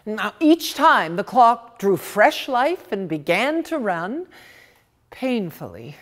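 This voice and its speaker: A woman reads a short story in a theatrical, dramatic way. Her delivery is unhurried and her pitch moves widely. She hesitates on purpose and repeats words for effect. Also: big pitch swings. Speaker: reader of short stories. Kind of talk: theatrical, expressive literary story reading.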